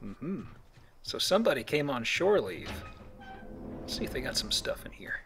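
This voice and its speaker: A man's voice: medium pitch, big pitch swings, moderate pace, gravelly, music in the background. A man hosting a let's play with casual, explanatory game commentary and a joking streak. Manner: explanatory, jokey, voices paraphrased quotes. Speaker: let's play host and guide.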